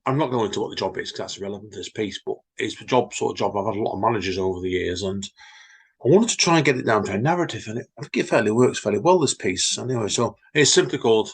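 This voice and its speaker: A man co-hosting a story podcast, chatting casually and reading his own poem in a slow, reflective way. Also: on the low side, expressive, breathy edge. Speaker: podcast co-host, poet. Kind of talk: casual chat and poetry reading.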